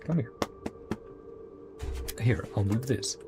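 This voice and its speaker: A man's voice: mid-range voice, slow pace. A man giving casual reaction commentary on a game story, in trailing, fragmented remarks. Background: game music.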